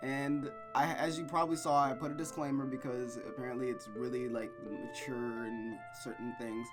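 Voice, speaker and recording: A man's voice: mid-range voice, moderate pace. Chatty man doing playthrough commentary over music. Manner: animated, wry reactions to what he reads. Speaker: host of a visual novel playthrough. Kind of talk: casual chatty let's play commentary, reading game text aloud. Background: game music, looping rain.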